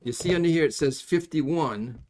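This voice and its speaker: A middle-aged man giving a casual hands-on soldering tutorial, folksy and halting as he thinks aloud. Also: on the high side, a little mellow. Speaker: electronics soldering instructor. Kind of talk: hands-on tutorial, explaining casually while working. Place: indoors at a workbench.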